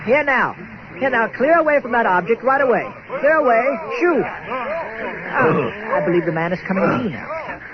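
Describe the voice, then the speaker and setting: An actor playing a courtly, eccentric old gentleman explorer with a formal, precise, stuffy manner in a radio comedy adventure. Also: extremely high pitch, sing-song, a little slow, crowd noise, full character voice. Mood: stuffy, commanding. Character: courtly, pompous, eccentric ancient gentleman explorer on lost island. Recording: muffled, noisy lo-fi radio recording.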